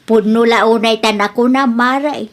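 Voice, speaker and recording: Actress as a plaintive, high-voiced aging Ilocano mother. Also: steady tone, unhurried, natural voice. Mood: aggrieved. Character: long-suffering aging mother, put upon at home. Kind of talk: Ilocano radio drama acting.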